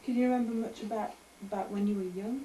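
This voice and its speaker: Woman, mellow tone, coaxing questions, echoey room, faint hiss. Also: a little high, steady tone, fast talker. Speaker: family interviewer. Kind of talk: casual family interview.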